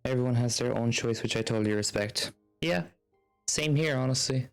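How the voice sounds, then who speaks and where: A man's voice: medium pitch, normal speed, smooth. A man livestreaming a video game, chatting casually in an easygoing, rambling way over game music. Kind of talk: casual livestream chat, reading game text aloud.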